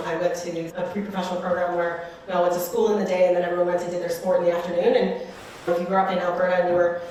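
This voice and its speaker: Fast-talking woman, roomy and distant. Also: fairly low pitch, little pitch movement, mellow, slight background noise. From Western Canada. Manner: chatty, many fillers like "like" and "you know". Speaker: guest, startup co-founder. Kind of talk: casual interview talk.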